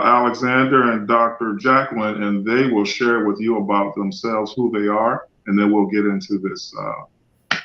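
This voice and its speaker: A man, a pastor and team leader, opening a panel with rambling remarks and fillers. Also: lowish voice. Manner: warm-up remarks with fillers and restarts. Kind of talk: panel introduction. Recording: echoey, dull lo-fi sound.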